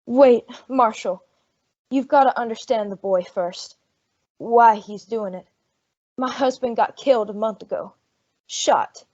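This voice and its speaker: A woman acts out a humble, troubled farm mother in a radio western. Her voice is on the high side, with earnest, pleading, rustic speech. The recording sounds muffled. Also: measured pace, pauses often, darkish tone. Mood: worried, pleading. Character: anxious, humble, poor frontier mother, recently widowed. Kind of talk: old-time radio western acting. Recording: dull lo-fi radio drama recording.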